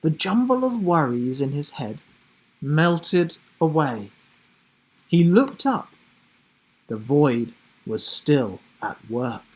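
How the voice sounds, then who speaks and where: A man's voice: fairly high pitch, big pitch swings, slow, pauses often, a little mellow, slightly rough, rough recording. A man reading a children's adventure story aloud with dramatic, theatrical delivery. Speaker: story reader. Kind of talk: dramatic scripted storybook narration.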